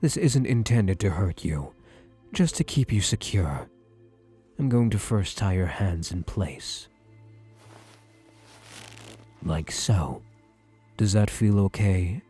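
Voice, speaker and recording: A man playing a possessive, doting therapist in an ASMR roleplay, speaking in a soothing, deliberate, hushed way with breathy murmurs. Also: low-pitched, expressive, faint music behind. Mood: calm, controlling. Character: possessive male therapist, softly controlling, doting and obsessive.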